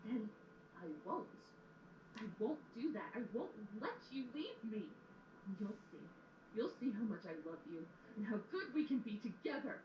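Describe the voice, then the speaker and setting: A woman's voice: fairly high pitch, sing-song, normal speed, lo-fi sound. A woman playing a lovesick, clinging young pony student in an audio drama, pleading with melodramatic intensity. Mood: desperate defiance. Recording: echoey, distant playback with background noise.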